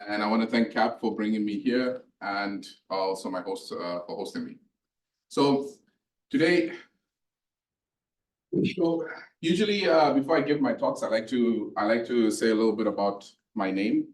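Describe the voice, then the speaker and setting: South African lecturer, lowish voice, easygoing storyteller, roomy hall heard from afar. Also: male voice, moderate pace. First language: Northern Sotho. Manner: relaxed storytelling with frequent fillers. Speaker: guest lecturer. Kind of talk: public physics lecture. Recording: echoey lecture hall from a distance.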